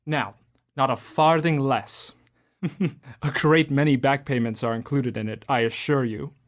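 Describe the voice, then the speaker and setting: A man's voice: mid-range voice, measured pace, natural voice. Scrooge, a man playing a jolly reformed old miser. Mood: jovial. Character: old male miser turned joyful and generous. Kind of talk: amateur radio drama acting.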